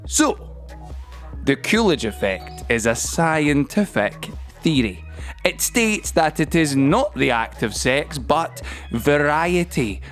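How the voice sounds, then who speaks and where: A man's voice: a little high, very animated, slow pace. A man performing a playful, nervy storyteller in an audio play, explaining science straight to the listener in a chatty, mock-lecturing way over music. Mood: measured explaining. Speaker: actor and narrator in an audio play. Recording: music bed under the voice.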